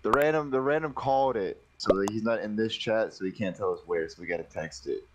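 A man's voice: fairly low pitch, brisk, slight background noise. A man playing an online game, chatting casually and slangily with friends. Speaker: game player. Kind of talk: casual group game chat.

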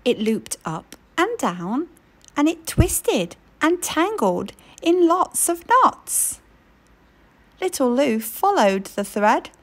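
A woman reading a children's picture book aloud in an expressive storytelling way. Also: sits high. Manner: expressive storytelling, slow and lilting.

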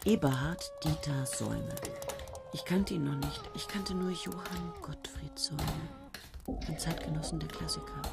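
A woman's voice: low-pitched, vocal fry. An actress playing a young female student who narrates a radio play in a wry, brooding way and bitterly hates potatoes. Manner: wry, brooding, clipped short sentences.

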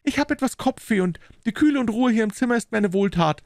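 A polite, gentle young lady acted out by a male audiobook reader. The voice is lifted far upward and sounds demure and hesitant, with a dry studio closeness. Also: high voice, animated, fast talker, dry recording, pitched above the performer's own voice. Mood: gently declining.

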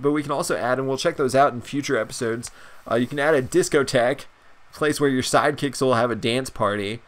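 A young man with a stuffed-up nose, chatting excitedly and rambling through a video game's shop menus as a gaming channel host. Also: medium pitch. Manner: excited, rambling, many fillers. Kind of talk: casual gameplay commentary.

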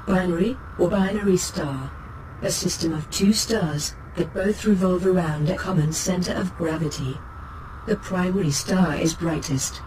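An actor voicing a calm, knowledgeable artificial ship's computer, giving scripted encyclopedic narration in a measured, formal, precise manner. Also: medium pitch, lightly acted, rough recording. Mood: calm, informative. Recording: clear noise, spaceship ambience behind.

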